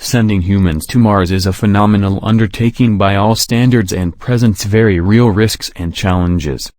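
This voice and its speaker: A man's voice: lowish voice, normal speed, a little mellow, no echo. A man reading a practice passage aloud in a scripted style for exam preparation.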